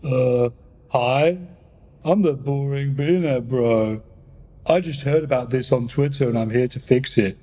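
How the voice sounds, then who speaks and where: A man's voice: medium pitch, measured pace, dark tone, close to an everyday voice. A man playing a smug, dismissive tech billionaire bro in a radio play. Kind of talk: radio play comic acting.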